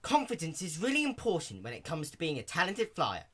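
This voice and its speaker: A man voicing a brash, boastful young female cartoon pony, loud, cocky and emphatic. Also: fairly high pitch, wildly swooping pitch, fairly quick, husky, pitched above the performer's own voice, full character voice. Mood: upbeat, confident.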